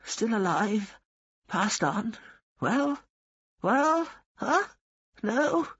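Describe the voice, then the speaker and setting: A man's voice: high voice, sing-song, very slow, fairly bright tone, slightly rough, dry recording, dull audio, pitched above the performer's own voice, exaggerated voice. A man voicing a dying, cantankerous old woman who runs a religious refuge, blunt and scornful.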